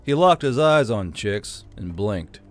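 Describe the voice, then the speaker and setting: A man narrating a fantasy novel in a steady storytelling manner, with dry, flat touches. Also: on the low side, slow, faint music behind. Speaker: audiobook narrator.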